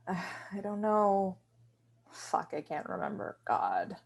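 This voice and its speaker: A woman's voice: medium pitch, sing-song, slow, gravelly, full character voice. An actress playing a shy, apologetic, self-doubting young woman, hesitant and flustered, in a conversational table read. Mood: rattled, self-doubting.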